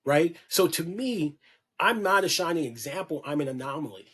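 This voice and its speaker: A man's voice: fairly high pitch, expressive, normal speed, a bit bright, slightly rough. A man speaking as a podcast guest, giving an impassioned, preachy monologue that repeats key lines for emphasis. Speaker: guest on a podcast, kink educator.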